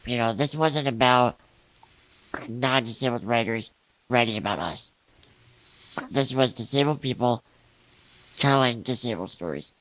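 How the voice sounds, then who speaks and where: A man's voice: medium pitch, measured pace, pauses often, a bit bright, no echo, rough recording. A man giving a conversational interview answer.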